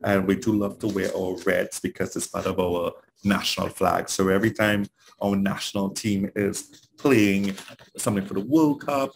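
A man's voice: low voice, fairly even intonation, moderate pace, vocal fry, slight background noise. A man from Trinidad with a Trinidadian accent, giving a conversational webinar talk as a trainer and asking rhetorical questions.

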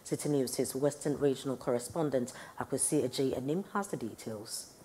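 Low-voiced Ghanaian woman news anchor. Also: normal speed, warm tone, a little hiss or hum. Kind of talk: scripted news reading. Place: TV news studio.

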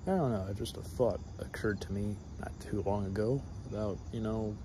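A man in his thirties rambling hesitantly to a camera held close below his face, with plenty of fillers and trailing thoughts. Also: moderate pace. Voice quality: fairly deep voice. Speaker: vlogger. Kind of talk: rambling casual monologue. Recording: loud cricket noise behind voice. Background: crickets and insects at night.

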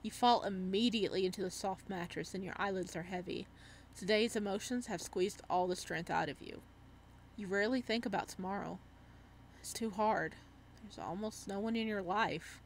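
A woman giving casual let's-play commentary and reading game text aloud, with an excitable, reactive manner. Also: medium pitch, moderate pace, slight background noise. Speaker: gaming streamer.

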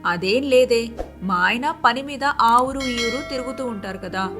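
A woman voicing a kind, generous wealthy young wife in an animated moral story, with a friendly, reassuring manner. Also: high voice.

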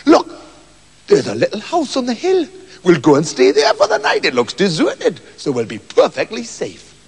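A man's voice: high-pitched, wildly swooping pitch, moderate pace, slightly rough, long reverb tail, heavily acted. A man voicing a boastful, rude, cheerfully violent male hero with a cocky, bossy way of talking, in a storybook reading.

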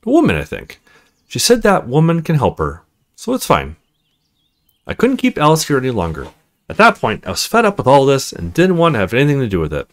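A man voicing a cool, confiding married female neighbor from a visual novel, reading her dialogue expressively and in measured phrases. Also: medium pitch. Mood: serious, explaining.

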